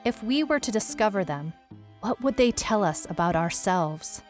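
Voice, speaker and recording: A woman's voice: mid-range voice, expressive, normal speed, darkish tone, soft background music, close to an everyday voice. A woman playing a wry detective who recounts a strange case in a dry, storytelling way, as scripted audio drama narration. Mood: reflective.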